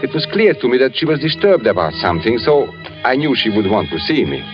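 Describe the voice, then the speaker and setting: An actor playing a gentle, brooding blind composer who speaks deliberately with haunting pauses in 1940s radio drama style. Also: male voice, mid-range voice, sing-song, close to an everyday voice. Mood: quiet narrating. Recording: muffled old radio recording with music.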